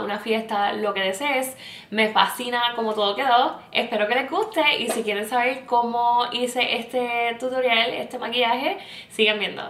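A woman's voice: on the high side, fairly bright tone, reverberant. Chatty Puerto Rican woman, makeup vlog. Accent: Puerto Rican Spanish. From Puerto Rico. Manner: chatty, mixes English words into Spanish. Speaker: makeup vlogger. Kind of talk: makeup tutorial, talking while applying. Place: small indoor room with plain backdrop.

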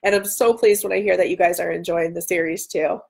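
A woman's voice: lowish voice, fairly even intonation, a little fast, vocal fry, a little mellow. A woman in her thirties hosting a casual livestream, chatting enthusiastically and with self-deprecating humor as she answers viewers. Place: indoor room at home by a window.

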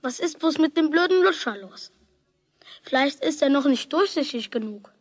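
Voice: high-pitched, animated, moderate pace, dry recording, studio quality. An actor playing a stubborn, jealous schoolboy in a children's radio play, grumbling indignantly to himself. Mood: frustrated. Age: child figure.

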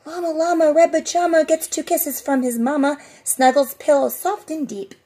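Middle-aged female preschool teacher, high-pitched, expressive sing-song read-aloud for small children. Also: normal speed. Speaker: preschool teacher reading a storybook. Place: outdoors on a covered porch.

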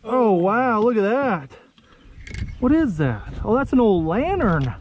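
A young American man chatting casually to viewers in a folksy, excitable way while out on the water. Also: high voice, takes it slowly. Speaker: vlog host. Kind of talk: casual outdoor vlog chat. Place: outdoors on a kayak under a bridge. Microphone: action camera. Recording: muffled action camera audio with outdoor noise.

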